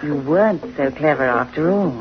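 An actress playing a vengeful, scheming wife who gloats menacingly in old-time radio horror style. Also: female voice, sits low, animated, normal speed. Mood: gloating, menacing. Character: vengeful scheming wife tormenting her paralysed husband. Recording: dull vintage radio recording, music behind.

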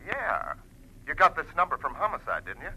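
A man acting a gruff, blunt police lieutenant in a 1940s radio drama, American accent, rough voice through a telephone. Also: fairly high pitch, huge pitch swings, fairly quick, sharp tone, full character voice, poor audio. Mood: matter-of-fact.

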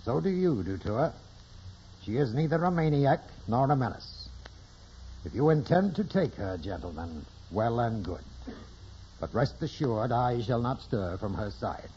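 Resolute priest with animated pitch. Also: male voice, a little slow. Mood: indignant, resolute. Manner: grave, commanding, formal. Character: indignant, protective, resolute male priest. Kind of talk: 1940s radio drama acting. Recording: muffled, noisy old radio broadcast.

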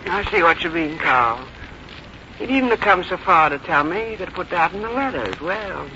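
An actress playing a plain-spoken old country mother, folksy, wry and quietly determined, in 1950s radio drama style. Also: lowish voice, animated, moderate pace. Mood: resigned, tender. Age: older. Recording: dull, noisy 1950s radio recording.

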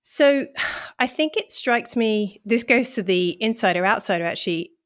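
A woman's voice: on the high side, animated, moderate pace. A woman lecturer who reads a passage aloud, then answers interview questions in a thoughtful, hesitant way with many fillers and restarts. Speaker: university lecturer, interview guest.

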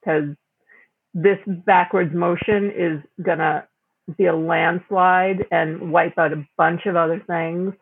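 A woman with a gravelly, husky voice, chatting casually as a podcast host. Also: fairly low pitch, fairly even intonation, takes it slowly, a little hiss or hum. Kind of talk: casual conversation. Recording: tinny, narrow phone-like audio.

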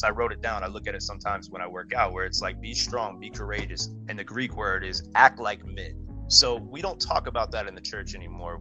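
A man giving his opinions in a casual, slangy podcast conversation, animated and emphatic, often saying "bro". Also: lowish voice. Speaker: podcast guest.

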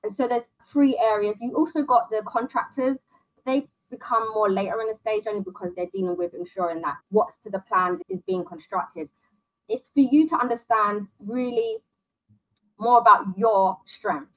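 A woman as an interview guest, briskly listing options and giving direct career advice. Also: on the high side, lively intonation, lo-fi sound.

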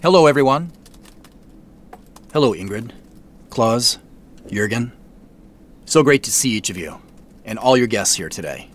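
A man acting as an earnest middle-aged chief executive in an audio drama. His mid-pitched voice moves expressively as he gives a measured, formal address. The delivery is contrite and naturalistic, with deliberate pauses. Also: animated, lightly acted. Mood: gracious, composed. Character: earnest, contrite male company founder and CEO addressing staff. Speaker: company founder and CEO.

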